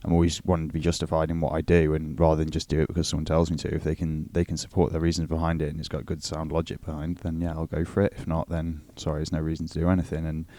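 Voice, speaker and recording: A man's voice: a bass voice, monotone, rapid-fire, hardly any pauses, dark tone, no echo. A man, chatting casually and candidly as a matter-of-fact podcast guest. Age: 20s. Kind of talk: casual chat. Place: small cluttered office, indoors.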